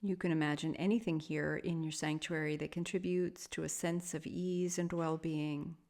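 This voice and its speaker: Low-pitched woman guiding a meditation. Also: steady tone. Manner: soft, soothing, measured with long pauses. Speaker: yoga nidra meditation teacher. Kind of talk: guided meditation.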